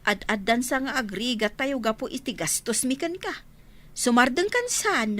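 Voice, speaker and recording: A woman's voice: on the high side, animated, normal speed, a bit bright. A woman playing a worried, scolding village mother in a radio drama, with a Filipino accent. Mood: worried, chiding. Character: worried, hard-up village mother of grown children.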